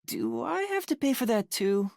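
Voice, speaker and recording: A man voicing a timid, earnest young male trainee detective in an anime-style video game, with a youthful, breathy voice and a polite, hesitant manner. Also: extremely high pitch, huge pitch swings, normal speed, darkish tone, no echo, clean studio sound, exaggerated voice. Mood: surprised, flustered.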